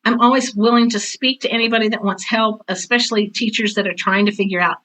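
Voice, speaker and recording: A woman's voice: fairly high pitch, steady tone, fast, pushed and bright, low-quality recording. An older woman speaking casually as an interview guest, blunt and candid, with a self-deprecating streak. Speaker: podcast guest, author and drama teacher.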